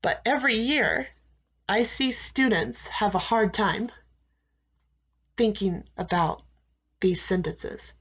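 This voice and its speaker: An American woman teaching English, giving students slow, deliberate advice and repeating key phrases with frequent "okay?" checks. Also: medium pitch, breathy edge. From United States. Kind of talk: classroom advice talk to students.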